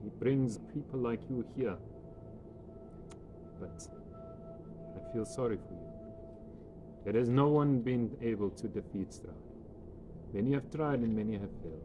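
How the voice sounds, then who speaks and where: A man's voice: medium pitch, measured pace, pauses often, background noise, natural voice. A man voicing a young, solemn and welcoming Vistani woman in a tabletop game. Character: young Vistani woman, quiet, weary, welcoming fortune-seer.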